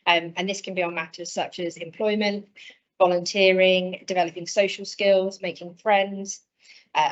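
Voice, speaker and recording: A woman presenting her support practitioner role, brisk and informative, with frequent ums. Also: medium pitch. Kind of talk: presentation at an online event. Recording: dull lo-fi sound.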